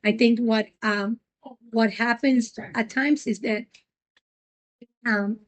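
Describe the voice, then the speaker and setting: Earnest woman from Puerto Rico, fairly high. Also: fairly even intonation, slow. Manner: earnest, many ums and restarts. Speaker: nonprofit president, guest. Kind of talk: reflective interview answers.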